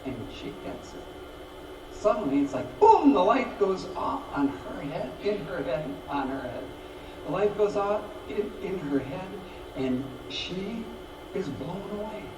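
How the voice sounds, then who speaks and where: A man preaching a sermon to his congregation, telling the story with lively acted-out voices and frequent restarts. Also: high voice, a little slow. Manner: animated storytelling, acts out voices, repeats words. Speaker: pastor. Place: church sanctuary. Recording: roomy, distant mic, background noise.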